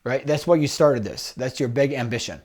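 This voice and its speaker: A man's voice: medium pitch, darkish tone. A man coaching someone one-on-one for a job interview, giving firm, repetitive instructions over a video call. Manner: directive, repeats points, quick asides. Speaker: career coach.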